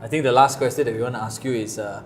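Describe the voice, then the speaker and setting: A man's voice: mid-range voice, fairly even intonation, racing, a little hiss or hum. A man hosting an interview, asking questions and giving brief, friendly affirmations. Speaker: interview host.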